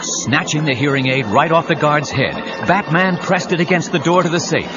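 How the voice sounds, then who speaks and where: A man's voice: a little high, moderate pace, close to an everyday voice. A man narrating an action audio drama with urgent, suspenseful scripted delivery. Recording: dull cassette audio with dramatic music.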